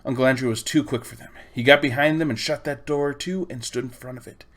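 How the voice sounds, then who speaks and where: A man reading a children's storybook aloud in expressive, measured phrases. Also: medium pitch. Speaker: audiobook reader. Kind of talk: storybook narration.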